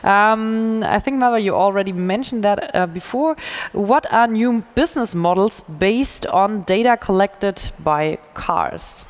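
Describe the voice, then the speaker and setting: A woman moderating a panel discussion, putting questions in an informal, halting way. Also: medium pitch, in a large hall.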